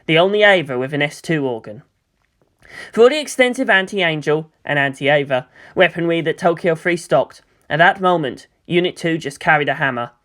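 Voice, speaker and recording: A story narrator reading dramatic action fiction in a measured, expressive way. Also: mid-range voice.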